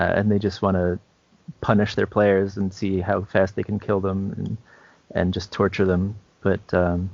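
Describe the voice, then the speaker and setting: A man with a fairly low, creaky voice chats as a podcast co-host. He speaks in a halting, conversational way. The sound is dry and slightly dull. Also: dry recording. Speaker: podcast co-host and game master. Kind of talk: casual chat about tabletop roleplaying.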